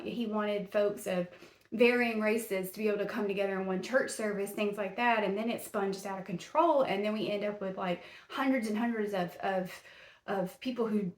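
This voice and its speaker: A woman's voice: mid-range voice, echoey room. A woman with a Middle Tennessee Southern accent hosting a true crime podcast in a folksy, rambling, self-deprecating way, full of fillers. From Tennessee, USA. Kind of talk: casual chatty podcast. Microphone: camera and mic.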